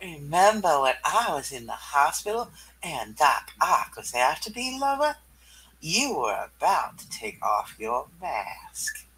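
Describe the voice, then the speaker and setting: A man's voice: on the high side, very animated, measured pace, pushed and bright, breathy edge, heavily acted, rough recording. A man voicing a seductive, thrill-seeking female cat burglar in a comic book reading, with a purring, teasing, flirty delivery.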